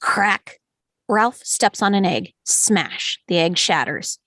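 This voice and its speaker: A woman reading scripted stage directions as narrator at an animated film table read. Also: medium pitch, takes it slowly, no room sound, clean studio sound.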